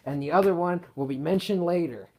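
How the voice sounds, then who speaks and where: A man reading his own written story aloud in a casual way, halting and correcting himself as he goes. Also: fairly high pitch, dark tone.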